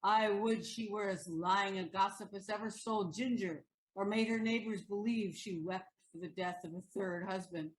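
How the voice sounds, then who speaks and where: Woman playing wry gossip, medium-pitched voice. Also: normal speed, hardly any pauses, lightly acted, low-quality recording. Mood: wry, curious. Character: wry, sharp-tongued gossiping Venetian townsperson. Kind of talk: Shakespearean audio play acting.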